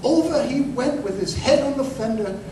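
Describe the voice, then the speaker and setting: A man playing an aging, crippled, humble ex-soldier turned conjurer in a radio drama, with halting, rustic, impassioned speech. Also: extremely high pitch, lively intonation. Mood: urgent confession. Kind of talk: old-time radio drama acting. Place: live performance in a museum. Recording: roomy, distant, noisy live stage recording.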